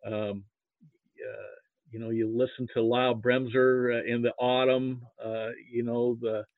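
A middle-aged American man with a Midwestern accent, chatting casually as an interview guest in a halting, hesitant way. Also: mid-range voice, flat delivery, no echo. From rural Nebraska, United States. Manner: halting, hesitant delivery with repeated "you know". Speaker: guest, sports fan and archivist. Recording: muffled, narrow phone-like line.

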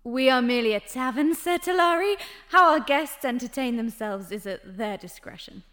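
Sly tavern madam, fantasy drama, highish voice, polite n coy, very composed. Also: female voice, animated, normal speed, a bit bright. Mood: smoothly polite. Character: poised, sly female tavern and brothel owner. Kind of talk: high fantasy audio drama acting.

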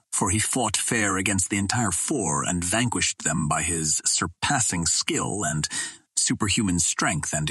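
A man voicing a grave Martian royal psychologist, speaking formally and deliberately with deference to his ruler. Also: fairly low pitch, fairly bright tone, breathy edge, dry recording.

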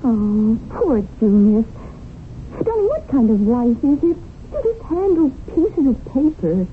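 An actress playing an earnest, idealistic young schoolteacher wife in a radio drama, gently pleading and moralizing. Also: female voice, a little high, very animated, measured pace. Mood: earnest, concerned. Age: young adult. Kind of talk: 1970s radio drama acting. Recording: tinny, noisy old radio broadcast.

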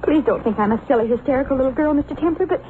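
A woman's voice: sits high, racing, close to an everyday voice. An actress playing an earnest, anxious fifteen-year-old girl in a 1950s radio drama. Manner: earnest, proper. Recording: thin, phone-like old radio with noise.

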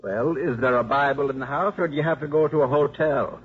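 An actor playing an elderly, stubborn and wry college priest in 1950s radio drama style, with dry, emphatic delivery. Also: male voice, medium pitch, fairly quick, slightly rough, close to an everyday voice. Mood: wry, confident. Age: older. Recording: muffled 1950s radio broadcast.

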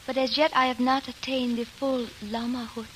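A woman's voice: fairly high pitch, normal speed, a little hiss or hum, lightly acted, low-quality recording. A woman playing a serene young girl musician. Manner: soft, calm, simple replies. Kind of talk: 1940s radio drama acting.

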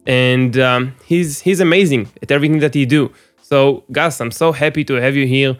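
A man's voice: mid-range voice, normal speed. A man hosting a podcast interview, talking casually with frequent fillers and restarts. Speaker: podcast host.

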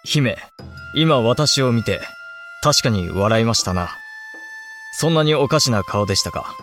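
A man's voice: mid-range voice, lively intonation, measured pace, a bit bright, soft background music. A man playing an earnest, self-deprecating young samurai lord in a Japanese period audio drama, speaking in formal archaic style. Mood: bashful, wry.

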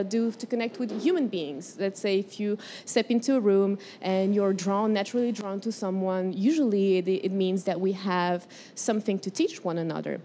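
A woman from Morocco teaching a spiritual herbalism class, leading a guided breathing exercise and speaking gently, unhurried, and often searching for words. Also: medium pitch, background noise.

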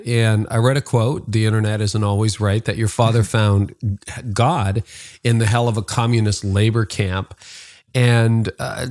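A man's voice: lowish voice, a little mellow. A man speaking as a podcast host, in interviews and sponsor reads.

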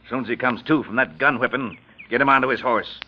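An actor playing a ruthless, sneering outlaw leader who bullies in slangy talk in an old radio western. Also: male voice, medium pitch, fast talker, a little hiss or hum, lightly acted. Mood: grim, scheming. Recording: dull, band-limited old radio recording.

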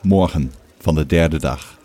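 A man's voice: very deep voice, slow pace, over music. A man reading short scripted recaps as the narrator of a game story.

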